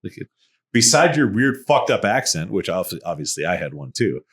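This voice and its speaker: A man's voice: sits low, very animated, a little fast, fairly bright tone. A man from Massachusetts co-hosting a podcast in a studio, telling a story in casual chat and swearing freely. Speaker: podcast co-host.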